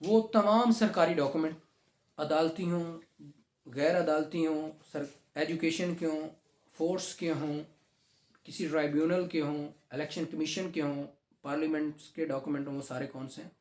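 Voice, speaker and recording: A man's voice: on the high side, fairly even intonation. Man lecturing law in an echoey classroom. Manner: reads statute text slowly, then explains in conversational Urdu. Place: classroom with students.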